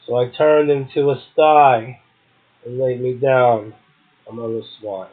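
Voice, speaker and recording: Slow-talking man on a phone-like line. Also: medium pitch, steady tone. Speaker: poetry show host and poet. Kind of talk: poetry reading and casual commentary. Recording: muffled, narrow phone-like sound.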